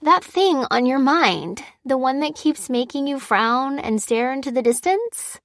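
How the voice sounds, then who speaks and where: A woman's voice: sits high, lively intonation, measured pace, no room sound, clean studio sound, pitched above the performer's own voice. A woman voicing a frank, probing female friend who talks casually and with opinions in an audiobook.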